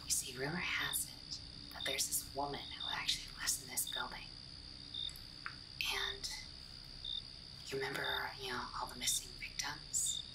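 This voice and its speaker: A woman's voice: a bass voice, steady tone, normal speed, pauses often, far from the mic, poor audio. A woman voicing a playful, daring young explorer in a whispered, teasing ASMR roleplay. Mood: teasing, conspiratorial.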